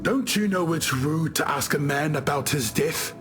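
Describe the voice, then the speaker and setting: A man playing a talkative ancient warrior spirit in a video game, wry and expressive. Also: fairly high pitch, moderate pace, music in the background, natural voice. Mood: surprised, wry. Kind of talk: scripted game character dialogue.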